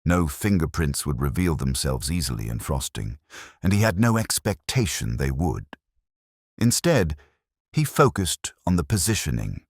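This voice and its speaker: A man's voice: extremely low pitch, big pitch swings, normal speed, husky, no echo, studio quality. A man reading scripted narration for a village mystery story.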